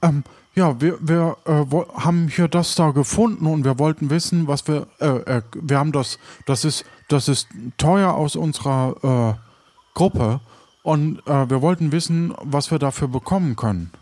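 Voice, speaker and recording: A man playing a polite, bumbling pirate in an improvised audio play, stammering and halting as he bargains. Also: on the high side, darkish tone, dry recording, lightly acted. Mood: hesitant. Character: hungry, courteous, bumbling male pirate haggler. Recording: background noise and ambience.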